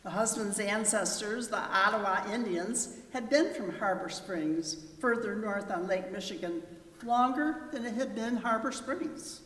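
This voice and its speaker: A woman's voice: mid-range voice, a little slow, a bit bright. Distant woman narrator in an echoey hall. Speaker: narrator in a readers theater performance. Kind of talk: scripted third-person narration read aloud. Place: large reverberant hall.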